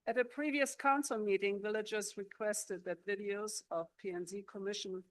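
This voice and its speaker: Measured woman at a council podium. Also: medium pitch, fairly even intonation, no room sound. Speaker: resident giving public comment. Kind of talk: formal public comment. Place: council chamber.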